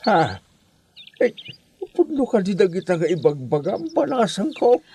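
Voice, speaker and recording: A man acts out an old village father in an audio drama, his voice gravelly and mellow. He is humble and plaintive, full of sorrow and gratitude, with faint noise and birdsong behind him. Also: sits high, huge pitch swings, unhurried, full character voice. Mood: surprised, humble. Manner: plaintive, exclamatory. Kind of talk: amateur Ilocano audio drama acting.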